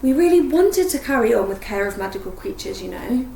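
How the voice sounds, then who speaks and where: A woman's voice: fairly high pitch, animated, brisk, creaky, warm tone, recorded from a distance. A woman voicing a timid, anxious teenage schoolgirl in a storybook reading, with a nervous, proper manner. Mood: timid, pleading. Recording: roomy echo, faint background noise.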